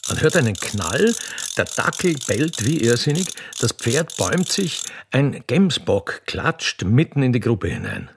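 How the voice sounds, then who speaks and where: A man's voice: mid-range voice, wildly swooping pitch, measured pace, vocal fry, noisy background. A man narrating a comic radio play in a theatrical, wry way.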